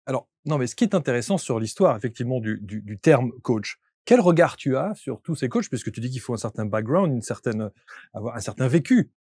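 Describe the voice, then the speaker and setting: A man's voice: medium pitch, sing-song, fairly quick, darkish tone, breathy edge, no echo, studio quality. A man hosting an interview, asking long, probing questions and murmuring along as his guest answers. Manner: probing, long winding questions, attentive back-channel murmurs. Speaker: interview host.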